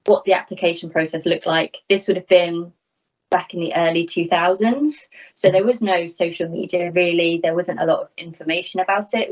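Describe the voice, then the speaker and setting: A woman's voice: lowish voice, a little mellow. A woman in her thirties giving candid, self-deprecating, conversational answers as an interview guest from a small room at home. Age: 30s. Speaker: air traffic controller, interview guest. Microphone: video call, laptop at arm's length. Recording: slightly dull video-call audio.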